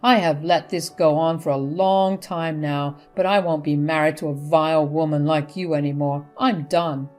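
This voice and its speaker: A woman's voice: low voice, fairly even intonation, moderate pace, few pauses, a little mellow, close to an everyday voice. A woman voicing a stern middle-aged husband who reproaches his wife, over faint music.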